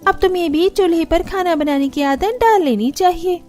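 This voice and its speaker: A woman's voice: very high-pitched, moderate pace, warm tone, faint music behind. A woman playing a practical young sister-in-law from a poor household in a Hindi cartoon, explaining things in a matter-of-fact way. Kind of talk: Hindi cartoon story dialogue.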